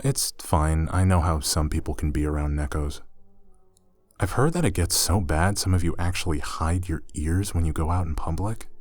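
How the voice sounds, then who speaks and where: A man playing an easygoing, gently teasing, slightly awkward young roommate in an intimate ASMR roleplay, talking casually with hesitant asides. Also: low voice, dry recording. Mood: reassuring, earnest.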